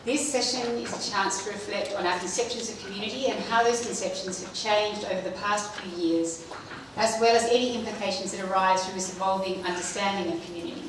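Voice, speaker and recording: A woman hosting a session, reading a formal scripted introduction in a measured way. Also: mid-range voice, fairly even intonation. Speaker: session host introducing a speaker. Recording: very echoey hall, distant mic, faint noise.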